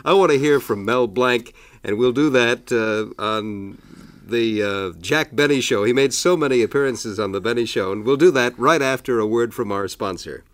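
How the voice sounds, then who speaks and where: A man hosting a radio interview show, enthusiastic and quick to chuckle. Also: medium pitch.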